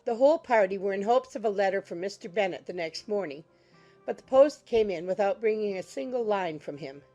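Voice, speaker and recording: A woman with a mid-pitched voice narrates a classic novel. She reads formal literary prose in a measured way, with faint music running beneath her. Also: animated, a little mellow, dull audio. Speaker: audiobook narrator.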